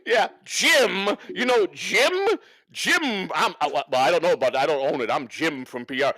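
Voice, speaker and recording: A man's voice: on the high side, lively intonation, normal speed, bright, forceful tone, dry recording, studio quality. A man on a livestream, talking off the cuff in a profane, rambling way and repeating himself for emphasis. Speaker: livestream co-host. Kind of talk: unscripted livestream rant and banter.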